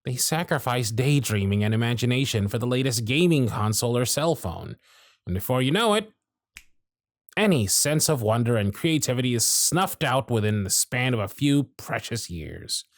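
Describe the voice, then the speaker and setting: A man voicing a jaded, century-old male workshop supervisor who is gruff, weary, sardonic and blunt, in an ASMR roleplay with close miking. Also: medium pitch, expressive, moderate pace, no echo, close to an everyday voice. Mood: bitter, exasperated. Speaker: voice actor in audio roleplay.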